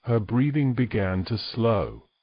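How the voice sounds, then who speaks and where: Low-voiced male narrator, dry sound. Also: very animated, takes it slowly, dry recording, muffled recording. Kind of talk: scripted story narration.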